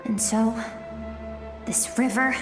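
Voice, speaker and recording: A high-pitched, gentle young heroine, echoing. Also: female voice, fairly even intonation, takes it slowly. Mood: urgent, insistent. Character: gentle, earnest emotional inner self of a young woman. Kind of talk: scripted video game voice acting. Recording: echoey long reverb, music behind.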